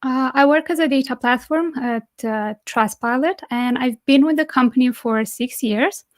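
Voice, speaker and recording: A woman's voice: high voice, normal speed, no echo, clean studio sound. Woman from Romania giving a tech talk. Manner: steady, with frequent "uh" fillers. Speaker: conference speaker. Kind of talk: technical presentation. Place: home or office, remote online meetup.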